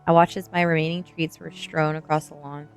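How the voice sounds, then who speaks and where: A woman reading a first-person novel aloud in an ASMR storytelling style, with expressive narration. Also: deep voice, a little fast. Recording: music behind the voice. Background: music.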